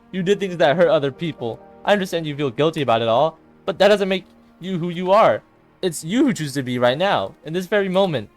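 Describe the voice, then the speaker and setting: A high-pitched, frantic teenage girl played by a man, a loyal best friend in a visual novel drama. The delivery is desperate and emphatic, with music behind it.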